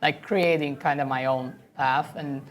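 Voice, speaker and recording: A woman's voice: very deep voice, steady tone, unhurried. A woman from Italy with an Italian accent, a sailor talking casually in an interview, laughing often and acting out what people said to her. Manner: chatty, laughs often, acts out quoted dialogue. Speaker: professional sailor guest.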